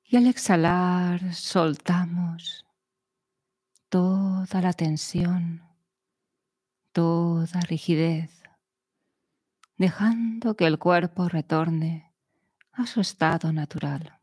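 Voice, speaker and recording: A woman guiding a meditation in a soothing, measured manner, speaking in short phrases with long pauses. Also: lowish voice, fairly even intonation, darkish tone, husky, no room sound, studio quality. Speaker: meditation guide. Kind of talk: guided meditation narration.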